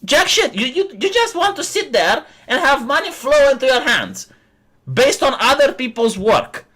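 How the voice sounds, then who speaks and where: A man's voice: very high-pitched, sing-song, normal speed, pushed and bright. A man in a heated online rant, indignant, stammering and hurling insults. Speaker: clipped opponent being rebutted.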